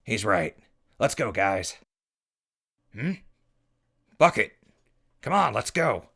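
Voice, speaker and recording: An actor playing an easygoing, folksy wasteland radio reporter in an audio drama, informal and wry. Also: male voice, fairly low pitch, sing-song, slow, vocal fry, fairly bright tone, slightly rough, full character voice. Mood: wry, companionable. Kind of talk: post-apocalyptic audio drama.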